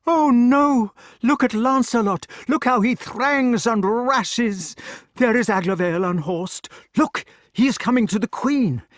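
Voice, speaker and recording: A man voicing an excitable, fretful old king who cries out in a high, breathless voice. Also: a little slow, no room sound, studio quality. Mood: excited. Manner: high-pitched, breathless, exclaiming.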